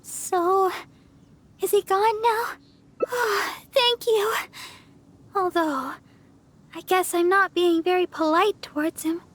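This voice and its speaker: A sweet young church singer from a video game, with a very high girlish voice. She speaks gently and politely, with a modest, apologetic manner. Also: fairly even intonation, slow pace, long pauses, a little hiss or hum, full character voice. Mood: shy, embarrassed. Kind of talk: video game character dialogue.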